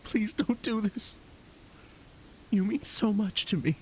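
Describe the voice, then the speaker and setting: A man's voice: sits high, takes it slowly. A man acting in an audio drama as a desperate, guilty husband, pleading and confessing, on a hissy recording. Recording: hissy, faintly noisy tape.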